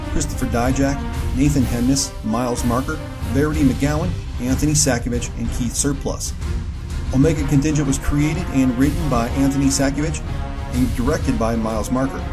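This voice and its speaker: Male credits announcer. Medium pitch, scripted read over prominent music. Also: steady tone, normal speed.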